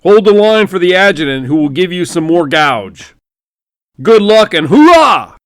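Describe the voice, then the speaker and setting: A man voicing a loud, blustery older male general barking congratulations over the phone. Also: high-pitched, big pitch swings, a little slow, smooth, no echo, clean studio sound, pitched above the performer's own voice. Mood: booming. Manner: loud, blustery, folksy military bark.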